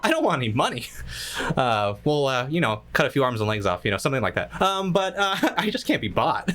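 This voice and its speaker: Brief: man, mid pitch, lively, keeps laughing at the script, video call. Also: brisk. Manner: laughs through lines, loose. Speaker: cast member in a comedic radio play reading. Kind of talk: 1940s radio acting, chuckling asides.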